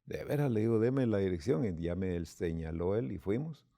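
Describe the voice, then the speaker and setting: A man's voice: fairly low pitch, expressive, moderate pace, creaky, dark tone, no echo, studio quality. A man from Guatemala, telling a personal story unhurriedly with quoted dialogue, as a church leader.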